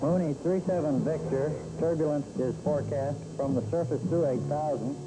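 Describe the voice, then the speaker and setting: A man's voice: a little high, moderate pace, loud music behind the voice, rough recording. An actor playing a patient male ground station operator giving clipped, formal replies over aviation radio in a training film.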